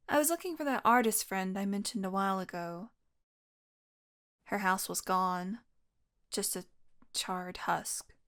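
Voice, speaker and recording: A woman playing a wounded, exhausted young vampire in an intimate audio roleplay, rambling wearily with sardonic, pained asides. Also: medium pitch. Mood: weary, regretful. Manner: weary, rambling, sardonic, with sighs and breathy asides. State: exhausted, in pain. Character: wounded, exhausted young female vampire, wry and foul-mouthed.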